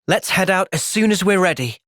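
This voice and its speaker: A man's voice: high-pitched, fairly bright tone, no room sound, clean studio sound, full character voice. A young man with a British accent playing an earnest, thoughtful, polite and determined young hero in video game cutscenes. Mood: upbeat, resolute.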